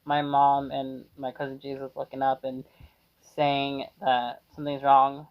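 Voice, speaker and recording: A man's voice: mid-range voice, completely flat delivery, measured pace. A man casually rambling through his reaction with lots of hesitation and fillers. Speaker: reaction video host. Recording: dull lo-fi mic.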